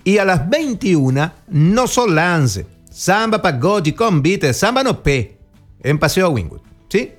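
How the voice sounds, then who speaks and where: Argentine man, brisk radio host. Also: high voice, sing-song, faint music behind. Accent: Argentine Spanish. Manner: brisk listings read-out with jokes. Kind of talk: casual radio chat.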